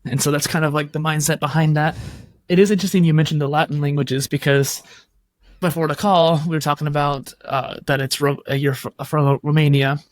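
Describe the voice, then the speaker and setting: A man's voice: fairly high pitch, flat delivery, fairly quick, no room sound. A man hosting a podcast over a video call, talking in a loose, rambling conversational way with lots of "like". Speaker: podcast host. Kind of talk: casual interview conversation. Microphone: video call microphone.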